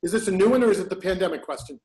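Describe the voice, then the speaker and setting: A man moderating an online open house, briskly handing the floor to speakers. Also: high voice, lively intonation, darkish tone. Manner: brisk, hands turns to speakers.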